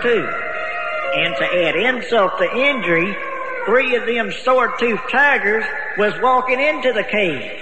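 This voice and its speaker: Casting: folksy tale-teller for radio drama, earnest, high-pitched, long hall reverb. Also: male voice. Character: folksy country man spinning a tall tale.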